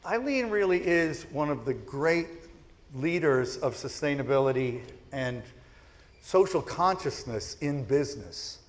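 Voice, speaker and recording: A man's voice: fairly high pitch, expressive, measured pace. Upbeat male onstage interviewer. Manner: upbeat, effusive, guiding questions. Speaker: conference interviewer, host. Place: conference stage with a live audience.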